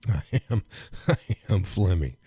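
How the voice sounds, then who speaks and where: A man hosting a live stream, chatting casually and rambling with fillers and chuckles, phlegmy and clearing his throat. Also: low voice, animated, unhurried, mellow, dry recording. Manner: rambling, chuckling, thinks aloud with many fillers. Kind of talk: casual chat reading viewer comments.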